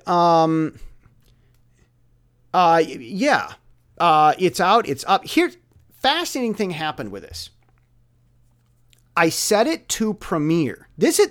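Excitable man, slightly high voice. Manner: repeats words and phrases emphatically, excitable. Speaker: musician with an online channel. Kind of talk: casual rambling monologue.

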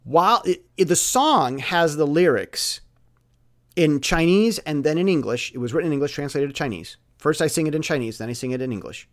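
Male, higher-than-average pitch, loose rambling solo talk, excitable delivery with emphatic repetition. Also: a little fast. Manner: repeats words and phrases emphatically, excitable. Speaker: musician with an online channel. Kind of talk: casual rambling monologue.

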